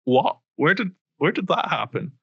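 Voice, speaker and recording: A man co-hosting a podcast, chatting casually and quickly with lots of ums and side remarks. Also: fairly high pitch, big pitch swings, vocal fry, sharp tone, slightly rough, dry recording, muffled recording. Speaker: podcast co-host. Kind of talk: casual chat about a reality show.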